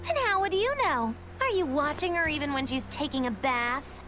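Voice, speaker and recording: A woman's voice: sits high, lively intonation, normal speed, bright, forceful tone. An actress playing a mischievous, mocking young woman in a video game, a theatrical trickster with a teasing manner. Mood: mocking, sly.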